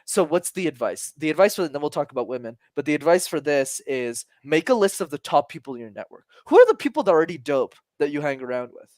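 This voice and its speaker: A man in his twenties, a communication coach talking as a podcast guest, energetic and emphatic, casual with some swearing. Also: on the high side, fast talker, hardly any pauses, dry recording, studio quality. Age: 20s.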